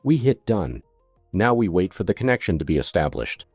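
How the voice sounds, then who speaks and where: A man's voice: sits low, very animated, normal speed, husky, no echo, faint music behind. A man narrating a scripted product tutorial as a demonstrator, giving methodical step-by-step instructions.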